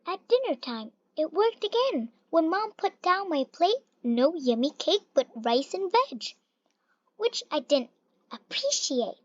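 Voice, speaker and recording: Storybook reader, high childlike voice, unhurried and animated, close dry lo-fi sound. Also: no echo. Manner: slow, deliberate, expressive storytelling. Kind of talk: read-aloud of a rhyming children's picture book. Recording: dull lo-fi recording, little room sound.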